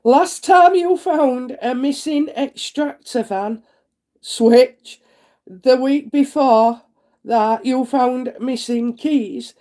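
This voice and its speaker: Bunged-up lady doing live psychic readings, chatty, lots of uhs, warm mellow voice. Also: high voice, extremely slow. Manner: chatty and homely, many "uh" fillers, asks "isn't it?". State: congested head cold, thick throat. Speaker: psychic reader hosting a live stream. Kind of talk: live-stream chat, reading viewer comments.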